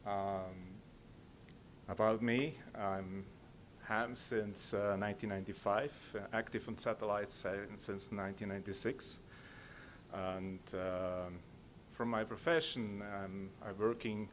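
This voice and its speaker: A middle-aged man with a Swiss accent giving an informal slide presentation in a halting, hesitant way. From Switzerland. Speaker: conference speaker. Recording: low rumbling background noise.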